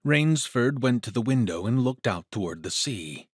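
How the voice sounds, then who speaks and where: A man's voice: mid-range voice, expressive, normal speed, husky, no room sound, clean studio sound. A man narrating a suspense story in an audio drama, reading scripted prose dramatically.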